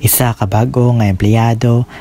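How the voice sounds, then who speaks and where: A man's voice: medium pitch, steady tone, normal speed, warm tone. A man with a Filipino accent reading scripted narration as the host of a radio drama. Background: faint music.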